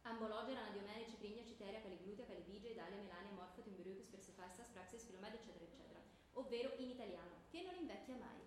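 A woman's voice: medium pitch, very fast, hardly any pauses, lightly acted. A woman playing a stern, sardonic schoolteacher who quizzes pupils in a peremptory, declamatory way, in comic radio theatre. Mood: lecturing. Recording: echoey stage with loud background noise.

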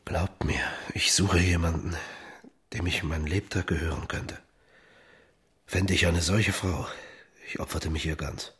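A man's voice: low voice, steady tone, unhurried, pauses often, fairly bright tone, slightly rough, full character voice. A man playing an amorous, imperious king in a radio drama, seductive, theatrical and commanding. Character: amorous, imperious baroque king, flattering and scheming.

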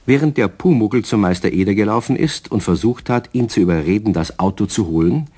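An actor as the storyteller of a children's radio play, narrating in a husky voice with a southern German accent. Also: male voice, fairly low pitch, lively intonation, a little fast, warm tone. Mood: storytelling.

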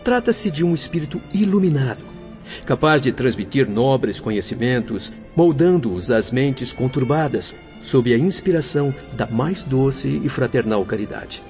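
Radio drama: man playing humble, earnest young Brazilian doctor, formal explainer, medium pitch, lo-fi audio. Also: expressive, measured pace, a little mellow, close to an everyday voice. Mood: earnest, reverent. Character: earnest, devout young male doctor spirit, humble guide. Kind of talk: radio novel acting. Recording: slightly dull lo-fi audio, faint music.

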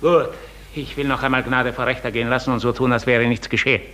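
An actor playing an imperious, suspicious count in a 1950s German radio play, interrogating in clipped phrases. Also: male voice, medium pitch, little pitch movement, quick pace, bright, forceful tone, slight background noise, natural voice. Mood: coldly gracious. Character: imperious, suspicious Russian count, cruelly gracious master.

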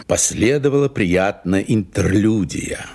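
A man narrating an audio drama as a wry storyteller, with a gravelly voice. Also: fairly low pitch, lively intonation, unhurried, darkish tone, full character voice. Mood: wry, dramatic. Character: wry storyteller of a detective comedy.

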